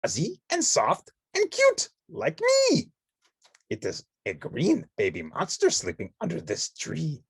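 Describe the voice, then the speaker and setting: A cuddly little monster who adores himself, voiced by a woman for a children's storybook. He coos in a very high, husky voice. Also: huge pitch swings, slow, no echo, clean studio sound, heavily acted. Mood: cute, delighted. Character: cute, cuddly little male monster, self-admiring. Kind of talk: children's storybook read-along character voice.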